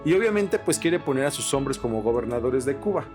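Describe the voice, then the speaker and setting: A man's voice: mid-range voice, expressive, a little fast. A Mexican man hosting a podcast, explaining things casually with slang and swearing. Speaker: podcast host. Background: music with guitar.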